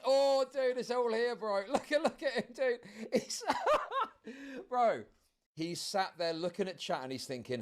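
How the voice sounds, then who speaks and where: A man delivering online drama commentary in a brisk, chatty flow. His pitch is lively and animated, rising and dropping as he builds his points. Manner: brisk, talky, laughs at points. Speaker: commentary video narrator.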